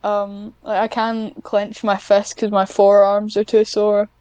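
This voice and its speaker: A woman's voice: mid-range voice, monotone, unhurried, dark tone, smooth, no echo. A nineteen-year-old woman chatting casually, rambling and self-deprecating as she goes. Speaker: podcast guest, ASMR script writer. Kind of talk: casual chat.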